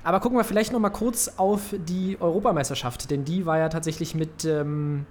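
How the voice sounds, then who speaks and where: A man's voice: a little high, fairly even intonation, fairly quick, a little mellow, a little hiss or hum. A man co-hosting a podcast, talking football casually and hesitantly with many fillers. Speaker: podcast co-host.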